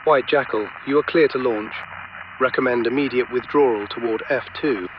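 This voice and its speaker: A man's voice: mid-range voice, normal speed, noisy background. A man playing a businesslike battleship officer in a sci-fi audio drama, issuing procedural orders over radio. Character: brisk male battleship flight and deck officer. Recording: muffled radio voice over music.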